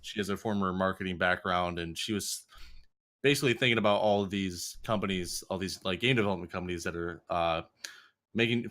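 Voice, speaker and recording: A man's voice: low voice, a bit bright. A man co-hosting a podcast, raising a topic in a thoughtful, somewhat hesitant way. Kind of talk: casual podcast chat.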